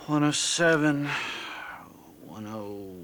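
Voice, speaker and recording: A man's voice: on the high side, animated, slow pace, a bit bright. An actor playing a preoccupied clerk, muttering as he counts, in a game scene.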